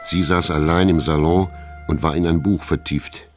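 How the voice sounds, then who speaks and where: An older man narrating a radio play in a measured, literary storytelling style. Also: sits low, fairly even intonation. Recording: studio narration with faint music.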